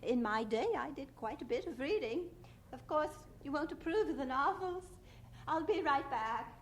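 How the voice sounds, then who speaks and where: A woman's voice: high-pitched, lively intonation, normal speed, a little hiss or hum. An actress playing a chatty older lady, a gushing and fussy hostess, in a radio drama.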